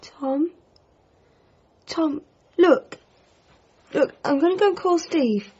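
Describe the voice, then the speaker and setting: A woman's voice: high voice, lively intonation, slow, a little mellow, highs cut off. A woman acting a concerned, practical figure who reasons insistently with a troubled man in a radio drama. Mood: gentle, concerned.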